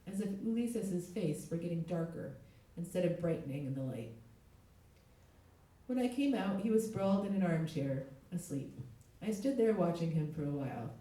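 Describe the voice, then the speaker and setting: A middle-aged woman, a literary translator, reading aloud at a live event, her voice hoarse with a cold. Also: fairly low pitch, warm tone. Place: echoey indoor event space. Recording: very echoey room, distant mic.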